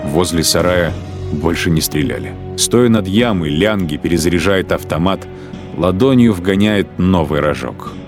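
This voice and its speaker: A man narrating a radio drama in a measured, sombre literary style. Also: low voice. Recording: music bed under narration.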